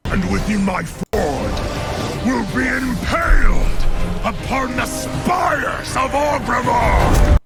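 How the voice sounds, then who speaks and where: Gravelly, slow, fierce orc warlord. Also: male voice, extremely high pitch, animated, raised voice, full character voice. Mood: furious, menacing. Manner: slow, declamatory. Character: fierce male orc warlord and warriors, grim, proud. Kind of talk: video game cinematic acting. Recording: music behind the voice.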